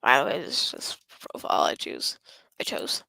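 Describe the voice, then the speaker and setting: A woman streaming a game, her voice hoarse and going raspy, rambling and often apologizing. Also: normal speed, pushed and bright, dry recording. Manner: rambling, apologetic, self-interrupting. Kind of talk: casual gameplay stream chat.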